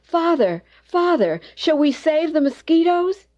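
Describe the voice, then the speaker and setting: Woman voicing an earnest high-voiced young boy. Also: very animated, measured pace, darkish tone. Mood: pleading. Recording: dull old cassette transfer.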